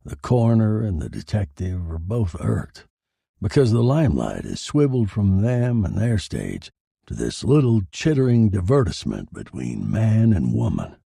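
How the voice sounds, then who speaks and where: A man's voice: lowish voice, big pitch swings, moderate pace, vocal fry, dark tone, slightly rough, no echo, clean studio sound, exaggerated voice. A man voicing a bitter, sardonic dead husband who narrates his own noir horror story in a theatrical way. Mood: wry, brooding. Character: dead murdered husband, bitter, wry, macabre narrating corpse.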